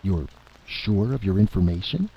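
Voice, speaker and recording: A man's voice: low voice, lively intonation, normal speed, gravelly, breathy edge, heavily acted. A man voicing a troubled, incredulous president, questioning and hesitant, in a dramatic reading. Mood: troubled disbelief. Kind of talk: dramatic audiobook-style reading. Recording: dull lo-fi recording with noise.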